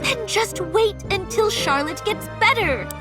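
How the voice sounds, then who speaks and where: A woman's voice: extremely high pitch, measured pace, music in the background. A woman playing a headstrong young noble girl, stubborn and devoted, in an anime-style game. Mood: defiant. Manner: animated, stubborn, emphatic.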